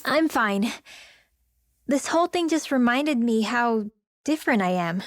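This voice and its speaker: A woman's voice: on the high side, animated, measured pace, no echo, studio quality. A woman voicing a sensitive, self-doubting teenage mage girl in a video game, youthful and sincere. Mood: downcast, touched. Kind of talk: dramatic video game acting.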